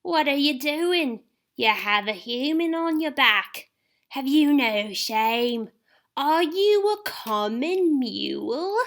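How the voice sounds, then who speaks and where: A proud, furious female centaur voiced by a woman thunders her words out high and slow. Each line is stretched out and full of scorn, as a storybook character. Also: animated, a bit bright, full character voice. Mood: scornful, angry. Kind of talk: storybook reading.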